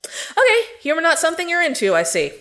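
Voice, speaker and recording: A woman's voice: very high voice, wildly swooping pitch, fast, bright, forceful tone, exaggerated voice. A woman playing a plucky, wry young girl who narrates and acts in a fantasy audio drama. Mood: hesitant, wry. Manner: wry, colloquial, sardonic.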